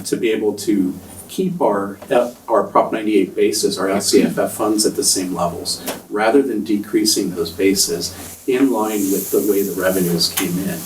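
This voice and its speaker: A soft-spoken man giving a budget presentation at a school board meeting, hesitant with many ums. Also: lowish voice. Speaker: school district finance presenter. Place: large meeting room. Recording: echoey room, distant mic, faint noise.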